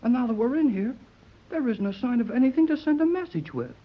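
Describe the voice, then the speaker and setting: Brash American lad, earnest and slangy, swooping husky voice, vintage radio serial, muffled sound. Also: male voice, extremely high pitch, big pitch swings, fast, heavily acted. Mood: puzzled, excited. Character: eager, brash American teenage boy. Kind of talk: 1930s radio serial acting. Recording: muffled, worn 1930s radio recording.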